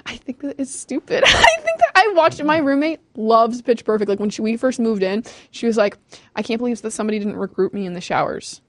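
Chatty nineteen-year-old woman, quick talker. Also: on the high side, sing-song, slight background noise. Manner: chatty, many "like" fillers, giggly. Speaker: co-host. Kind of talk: casual chat.

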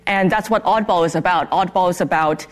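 A woman's voice: fairly low pitch, a little fast. A young woman from Singapore, a company founder, chatting candidly as a guest in a TV news interview. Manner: chatty and candid, laughs easily. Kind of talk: casual morning TV interview.

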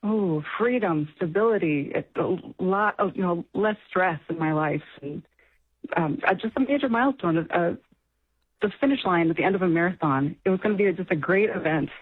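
A woman's voice: fairly low pitch, normal speed, breathy edge. Hesitant woman on a tinny phone line. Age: late 40s. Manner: emotional, hesitant, many fillers. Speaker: caller. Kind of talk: phone call to a radio show.

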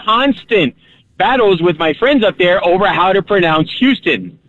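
Male radio caller, Texan, high voice, muffled phone-line quality. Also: lively intonation, normal speed, no room sound. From Texas. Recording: dull phone-line sound.

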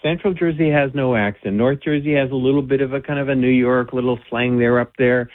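A man's voice: medium pitch, fairly even intonation, brisk, no echo. A confident male caller gives his opinions over a thin, phone-like line. The sound is dry, with no room around his voice. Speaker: radio caller.

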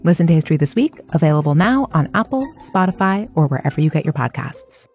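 A woman's voice: low-pitched, very animated, fast, darkish tone. A woman hosting a podcast, reading a scripted promo. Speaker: podcast host. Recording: faint music bed behind the voice.

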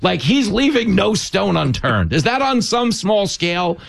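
A man with a New York accent hosts a loose, comedic interview. His voice sits fairly high and swings widely in pitch as he riffs in slangy, animated banter. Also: unhurried, vocal fry. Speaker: interview host.

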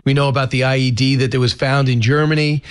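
A man's voice: mid-range voice, steady tone, quick pace, clean tone. A man hosting a talk radio show, asking guests conversational, leading questions.

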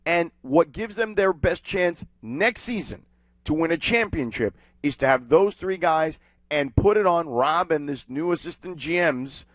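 A man hosting a sports talk show, talking with emphatic, animated delivery. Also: fairly high pitch, unhurried, no room sound. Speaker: sports talk radio host. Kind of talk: sports talk and live read ad.

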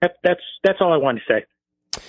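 A man's voice: high voice, expressive, moderate pace. A man calling in to a radio show, speaking hesitantly with frequent ums over a phone line. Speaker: caller.

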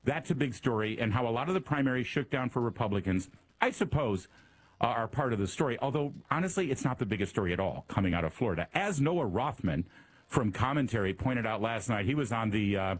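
A middle-aged Midwestern man with a slightly husky voice delivers an opinionated political monologue. He talks in a sarcastic, mocking way, often stumbling and repeating words as he starts a sentence. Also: mid-range voice, lively intonation, brisk. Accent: Midwestern American. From American Midwest. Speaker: radio and podcast host.

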